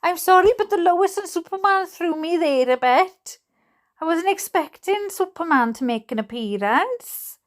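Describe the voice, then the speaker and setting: A Welsh woman reading aloud casually with chatty, jokey asides to her online audience, in an expressive, self-deprecating way. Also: very high-pitched, moderate pace. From Wales. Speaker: YouTube host reading letters aloud.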